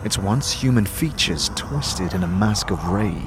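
A man's voice: on the low side, lively intonation, a little slow, a crowd in the background. A man giving scripted second-person narration for a fantasy audio drama. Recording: music behind the voice.

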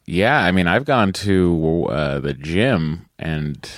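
A man in his thirties co-hosting a podcast, deadpan and jokey in casual chat, with a sore mouth that hurts to talk. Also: low voice, takes it slowly, no echo, clean studio sound. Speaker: podcast co-host.

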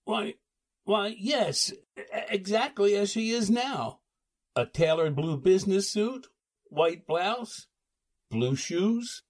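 A man playing a flustered, courteous husband under questioning, halting and defensive, in 1940s radio drama style. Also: sits high, animated, full character voice. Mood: uneasy.

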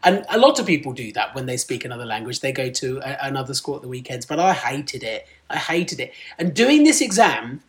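A man's voice: medium pitch, fairly quick, hardly any pauses. Laughing, chatty man in his forties. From Kent, England. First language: Punjabi. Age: 40s. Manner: laughs often, lively storyteller. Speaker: guest. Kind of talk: casual interview chat.

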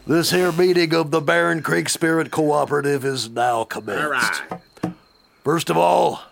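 A gravelly drawling Southern chairman. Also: fairly high pitch, a little slow. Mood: rowdy. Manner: drawling, rowdy. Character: Virgil, crude old Southern lodge chairman. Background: music.

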